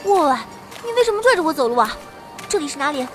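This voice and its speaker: A woman playing an excitable teenage schoolgirl transported into a fantasy world in an audio drama, exclaiming and talking to herself playfully. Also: high-pitched, quick pace, music in the background. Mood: puzzled, chirpy. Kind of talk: Chinese audio drama acting.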